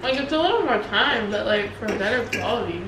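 A woman's voice: on the high side, expressive, fairly quick, very noisy. A young woman at a family dinner, giving her opinions with teasing asides. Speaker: family member. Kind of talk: casual family dinner chat. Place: dining room at home. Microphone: far camera across table. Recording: echoey room, distant mic, table clatter.

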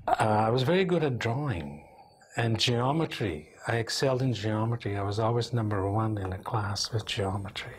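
Unhurried older man, deep voice. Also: lively intonation. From Belgium. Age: 70s. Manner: unhurried, thoughtful storytelling with small restarts. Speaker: interviewee, engineer and company president. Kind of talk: reflective oral history interview. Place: small office, indoors, seated at a desk.

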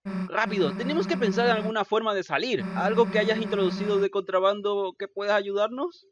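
A woman's voice: mid-range voice, a little fast, lo-fi sound, natural voice. A woman voicing a tough, brash teenage monster girl, blunt and urgent, in a video game fan dub. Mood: irritated.

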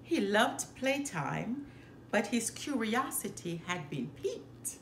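Female storyteller for a picture-book read-aloud: higher-pitched, expressive, recorded in an echoey living room. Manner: careful, expressive reading with deliberate phrasing. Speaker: storyteller, channel host. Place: indoor living room by a fireplace. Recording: roomy echo, some background noise.

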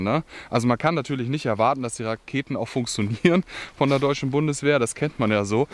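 Chatty young man vlogging in a forest. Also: medium pitch. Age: young adult. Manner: chatty and opinionated, many fillers and asides. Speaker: outdoor vlogger. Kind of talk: casual chat to camera. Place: outdoors in a forest. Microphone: camera held at arm's length.

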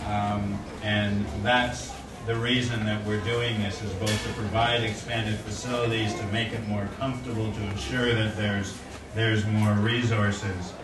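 A man in his forties giving an earnest formal speech at a ceremony, with frequent fillers and restarts, into a stand microphone. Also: lowish voice. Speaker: corporate speaker at a donation ceremony. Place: indoor room at an event. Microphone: stand microphone at a podium. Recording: roomy, distant-miked event audio with noise.

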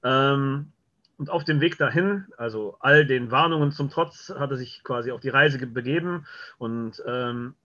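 A man chatting casually as an interview guest, often halting as he searches for words. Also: medium pitch, bright, forceful tone. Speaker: radio play director and interview guest. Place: home, video call. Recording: slightly dull video call audio.

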